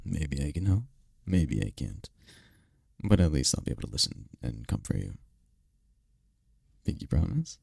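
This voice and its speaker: A man playing a tender, teasing incubus demon boyfriend in an intimate ASMR roleplay, speaking in a breathy, soothing, soft-spoken way. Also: big pitch swings, moderate pace, pauses often, no echo, clean studio sound, heavily acted. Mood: affectionate, reassuring. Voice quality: breathy, gravelly low voice.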